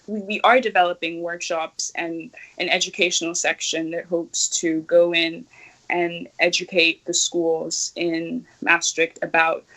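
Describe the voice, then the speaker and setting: Bright-voiced young woman from Nigeria. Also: on the low side, low-quality recording. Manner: earnest, thoughtful, with fillers and restarts. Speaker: activist guest. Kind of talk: interview answers.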